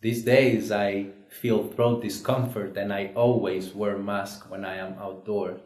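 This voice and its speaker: A man from Spain takes part as a student in a scripted discussion. He speaks plainly and earnestly in a fairly low voice. His words echo around the room. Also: level tone, slow, darkish tone. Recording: echoey room.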